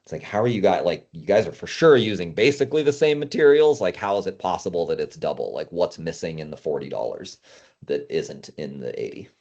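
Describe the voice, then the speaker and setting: A man's voice: deep voice, expressive, brisk, darkish tone. A man speaking as a podcast guest, giving casual, conversational advice as a consultant. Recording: muffled, dull lo-fi audio.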